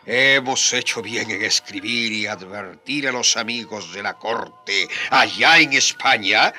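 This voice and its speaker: A spiteful accomplice to a colonial bishop in a radio drama. He is obsequious and unhurried, with a very sharp, forceful tone. Faint music plays around him. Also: fairly low pitch, full character voice. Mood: conspiratorial.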